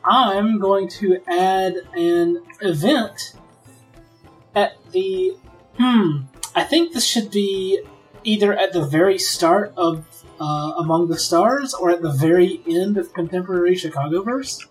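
A player in a tabletop game who thinks aloud eagerly while pitching ideas in casual chat. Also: measured pace, distant mic, soft background music, rough recording.

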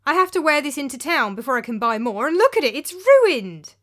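A woman's voice: very animated, fairly quick. A woman voicing a petulant, spoiled young man who whines and snaps in anger, in an audiobook reading. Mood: angry. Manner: whining, shrill complaints.

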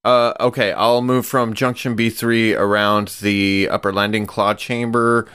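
A man's voice: on the low side, level tone, measured pace, fairly bright tone, clean tone. A man playing a tabletop game, hesitantly describing his character's moves with many fillers. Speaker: player.

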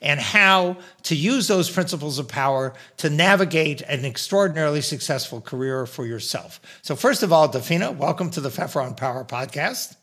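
A man's voice: a little high, animated, moderate pace, hardly any pauses, bright, forceful tone. An older man hosting a podcast, introducing his guest and drawing lessons from her story in a professorial way. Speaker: podcast host. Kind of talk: podcast interview.

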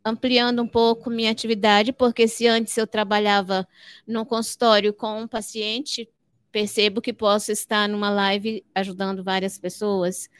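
A woman's voice: mid-range voice, little pitch movement, moderate pace, clear, resonant tone, no echo, studio quality. An older woman from Fortaleza, answering interview questions in an explanatory way, repeating phrases for emphasis. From Fortaleza, Ceará, northeastern Brazil. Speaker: podcast guest, psychologist.

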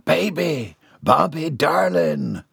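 A man voicing a jolly, rough country husband and father with a slow, easygoing drawl in an audiobook. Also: fairly high pitch, expressive, a bit bright, dry recording, clean studio sound, voice pushed up, heavily acted. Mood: amused.

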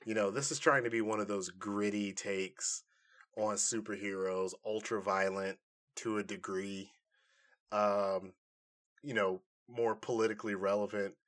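A man's voice: lowish voice, steady tone, a little slow, a bit bright. Casual, slangy man co-hosting a review podcast. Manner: conversational, slangy, opinionated. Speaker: podcast co-host reviewing nerd culture.

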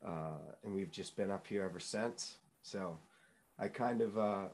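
Earnest young man, lower voice. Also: a little slow, a little mellow. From New England, United States. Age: young adult. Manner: earnest storyteller, many fillers and restarts. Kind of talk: casual interview chat.

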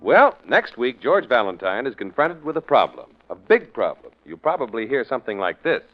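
A man's voice: mid-range voice, very animated, normal speed. An American man announcing and reading scripted sponsor copy in 1940s radio style. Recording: muffled old radio recording, music behind.

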